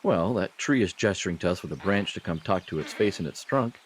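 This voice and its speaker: A man with a deep, husky voice that swings widely in pitch. He delivers scripted comedy lines in a wry, sarcastic way, as in an audio skit. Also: lively intonation, brisk, slight background noise. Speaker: podcast performer playing himself in a comedy skit. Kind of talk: comedic audio drama acting.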